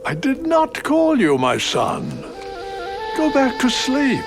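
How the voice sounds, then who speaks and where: A weary old priest, slow and solemn, rising and falling pitch, music under the scene. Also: male voice, huge pitch swings, gravelly, heavily acted. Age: older. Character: aging, nearly blind old priest, weary. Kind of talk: audio Bible drama.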